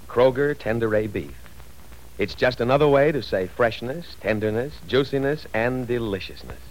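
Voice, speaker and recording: A man's voice: medium pitch, lively intonation, moderate pace, slight background noise, rough recording. A man reading a vintage radio commercial in an earnest, persuasive manner. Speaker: announcer.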